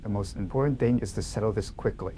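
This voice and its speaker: A man's voice: on the low side, fast talker, dark tone, slight background noise, natural voice. A man playing a stern, curt, calculating male company executive in dramatic dialogue. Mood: calculating, firm.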